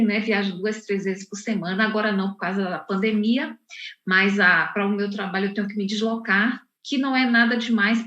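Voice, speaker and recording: A woman's voice: medium pitch, fairly bright tone. Woman, muffled roomy call audio. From Salvador, Bahia, Brazil. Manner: unhurried, with frequent restarts and fillers. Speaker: poet and university professor. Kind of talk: online book launch talk. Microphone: video call.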